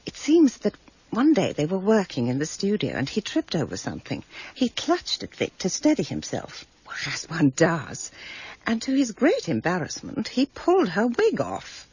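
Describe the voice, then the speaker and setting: A woman's voice: fairly low pitch, wildly swooping pitch, normal speed, a little mellow, husky, no room sound, lo-fi sound, heavily acted. An actress in a radio drama playing a chatty, genteel, gossipy woman who owns a bookshop. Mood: confiding, amused. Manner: gossipy, fussy, digressive. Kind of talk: 1990s radio drama acting.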